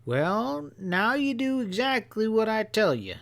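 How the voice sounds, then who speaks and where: A man's voice: high-pitched, sing-song, a little slow, creaky, fairly bright tone, pitched above the performer's own voice, heavily acted. A man voicing a mischievous, folksy old country grandfather who explains things with earnest emphasis in an audiobook story. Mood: earnest, instructive. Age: older.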